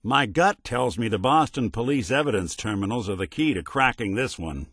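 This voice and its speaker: An actor playing a world-weary, loyal robot private detective in a video game, with wry, understated, old-fashioned hard-boiled noir phrasing. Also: male voice, mid-range voice, animated, normal speed, fairly bright tone, breathy edge, no room sound, clean studio sound. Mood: wry, matter-of-fact. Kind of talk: video game character voice lines, film noir detective acting.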